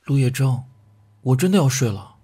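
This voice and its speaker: A man's voice: medium pitch, lively intonation, normal speed, a little hiss or hum, natural voice. A young man acting as a weary, guarded male student in a Chinese audio drama. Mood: sheepish, protesting. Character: weary, guarded male student narrating his hard youth. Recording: roomy sound under loud music. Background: music.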